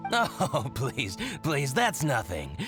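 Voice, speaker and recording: A gracious townsman whose voice swoops very widely as he waves off thanks. Soft game music behind. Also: medium pitch, wildly swooping pitch, slow pace. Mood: modest. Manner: modest, earnest. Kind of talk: video game voice acting.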